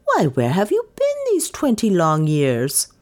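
A woman voicing a tottering, astonished old woman neighbour in a storybook, exclaiming in drawn-out words. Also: lowish voice, wildly swooping pitch, darkish tone. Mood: amazed welcome.

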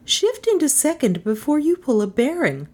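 Impish young woman for an audiobook, played by a female narrator: high, exclamatory, quick to scold and to take alarm. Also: sing-song, a little mellow. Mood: teasing, indignant. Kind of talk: audiobook character dialogue.

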